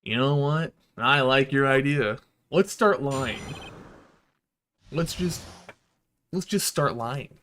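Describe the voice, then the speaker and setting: A man's voice: mid-range voice, slow pace, pauses often, pushed and bright, slight background noise. A man doing casual game stream commentary, swearing freely and ranting in exasperation as he plays. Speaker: streamer playing and reading a visual novel aloud.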